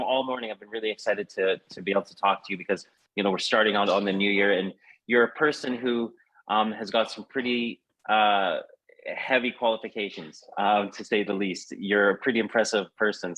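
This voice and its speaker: A man with a fairly low voice hosts a casual live interview. He talks informally and haltingly, often rewording his questions midway. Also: fairly bright tone, lo-fi sound. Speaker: interview host.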